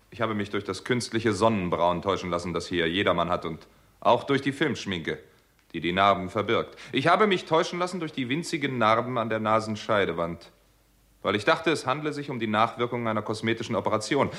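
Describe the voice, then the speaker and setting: A sharp, forceful doctor playing detective in a sixties radio crime drama. His pitch moves widely as he reasons deliberately and presses his case with dramatic emphasis. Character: American doctor turned amateur detective, insistent and sharp. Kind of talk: 1960s German crime radio play acting.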